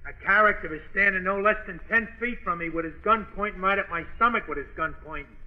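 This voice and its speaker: Man as a high-voiced comical cabbie. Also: fast, close to an everyday voice. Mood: plaintive, droll. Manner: repeats his own phrases. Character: comical, eager working-class cab driver who repeats himself. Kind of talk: 1940s radio comic acting. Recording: dull vintage radio recording.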